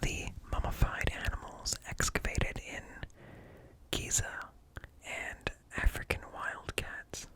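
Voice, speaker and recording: A man reading informational text in a slow, hushed, breathy whisper for ASMR, close to the microphone. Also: poor audio. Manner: slow, deliberate, hushed, broken into short phrases. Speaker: ASMR host. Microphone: close ear-to-ear microphone.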